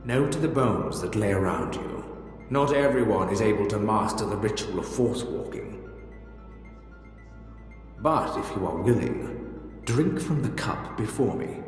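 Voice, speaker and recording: An actor playing an ancient Sith spirit in a video game, a solemn keeper of dark secrets who speaks formally and ominously. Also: male voice, on the low side, animated, a little slow, long pauses. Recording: cavernous echo with long reverb, music behind.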